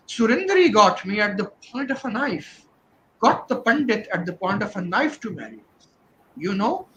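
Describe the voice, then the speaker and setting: A man's voice: high voice, fairly bright tone, a little hiss or hum, low-quality recording. A man reading novel excerpts aloud and then discussing them thoughtfully, with many fillers and restarts, on a virtual event call. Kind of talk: dramatic reading and literary discussion.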